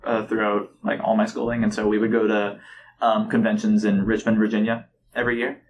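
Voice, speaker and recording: A young man in casual podcast conversation, telling stories in a chatty, animated way. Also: fairly low pitch, a little fast, lo-fi sound, slight background noise. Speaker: podcast host. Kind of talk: casual chat.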